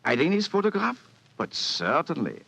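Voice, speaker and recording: An actor playing a grand, anxious king with pompous, halting, formal speech in an old radio drama. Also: a little high, wildly swooping pitch, vocal fry, a bit bright, slightly rough, heavily acted. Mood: grateful, stately. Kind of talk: 1940s radio drama acting.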